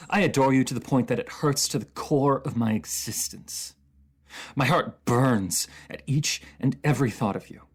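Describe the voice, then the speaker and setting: Man acting a husky, anguished fantasy ruler. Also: lowish voice. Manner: formal, theatrical phrasing, halting with emotion. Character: captive enemy ruler, anguished, proud, lovesick, under truth potion. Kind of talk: dramatic fantasy audio roleplay monologue.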